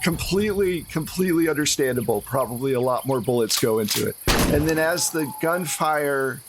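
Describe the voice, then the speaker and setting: A man's voice: a little high, animated, normal speed, a little hiss or hum. A man acting as game master, improvising tabletop game narration in a conversational way.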